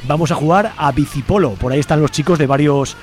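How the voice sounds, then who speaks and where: A man's voice: fairly high pitch, quick pace, darkish tone. A man with an accent from Spain presenting a radio show in an informal, colloquial, playful style. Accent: Spanish from Spain. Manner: colloquial, jokey.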